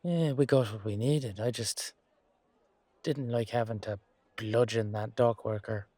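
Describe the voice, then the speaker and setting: Man playing a slow-talking, wry, weary knight. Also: mid-range voice, steady tone, dark tone, no room sound, natural voice. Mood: hesitant, reluctant. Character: humble, weary young male knight, wry and loyal. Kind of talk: amateur fantasy audio roleplay acting.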